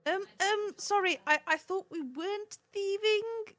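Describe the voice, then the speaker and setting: Very high, bright-voiced woman at game table. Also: measured pace. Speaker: player in a tabletop role-playing game. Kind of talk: casual game chat.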